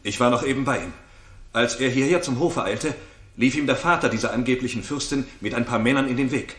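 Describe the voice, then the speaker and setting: A man's voice: medium pitch, brisk, fairly bright tone, lightly acted. A man as a formal royal messenger. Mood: formal, urgent. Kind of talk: 1960s German radio theatre acting. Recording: roomy 1960s studio radio recording.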